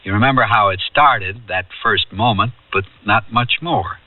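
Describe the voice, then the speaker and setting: A man's voice: on the low side, normal speed, no room sound, recorded from a distance, close to an everyday voice. An actor playing a wistful ordinary man who looks back on a youthful summer holiday in reflective, conversational radio drama narration. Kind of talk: 1970s radio drama first-person narration. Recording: muffled radio drama tape, music behind.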